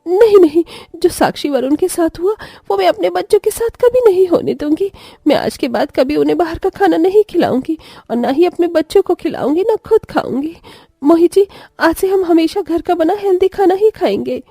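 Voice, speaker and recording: A woman's voice: very high voice, expressive, normal speed, hardly any pauses, dark tone, dry recording, clean studio sound. A woman voicing an impulsive young aunt and daughter-in-law, emphatic and dramatic, in a moral story. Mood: remorseful. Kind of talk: acted moral story dialogue.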